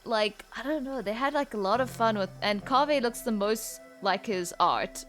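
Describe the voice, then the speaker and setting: A woman's voice: on the high side, normal speed, fairly bright tone. A young woman giving casual let's-play commentary, rambling informally and musing aloud as she plays. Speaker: gaming video creator. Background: game music.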